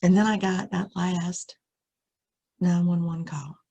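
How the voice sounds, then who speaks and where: Husky-voiced American woman telling a scary story. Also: on the low side, muffled recording. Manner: expressive, suspenseful delivery with dramatic pauses. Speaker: storyteller reading aloud. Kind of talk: dramatic first-person scary story reading.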